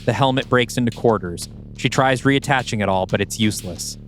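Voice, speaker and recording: A man's voice: medium pitch, a little fast, faint music behind. A man hosting a podcast, reading scripted scene directions as the narrator of an audio play.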